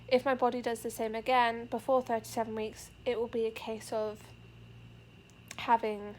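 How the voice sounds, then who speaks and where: A woman's voice: fairly high pitch, a little hiss or hum. A young pregnant woman, a little out of breath, giving an earnest, rambling update to her camera with many fillers. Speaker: vlogger. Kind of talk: casual pregnancy update vlog. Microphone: camera facing the speaker.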